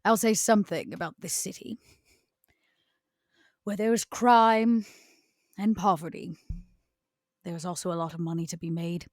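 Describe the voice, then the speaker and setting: A woman voicing an elegant, scheming century-old vampire aristocrat in a tabletop roleplay, with measured, formal, deliberate phrasing. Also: fairly low pitch, fairly even intonation, no room sound, studio quality, close to an everyday voice. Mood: coolly greedy.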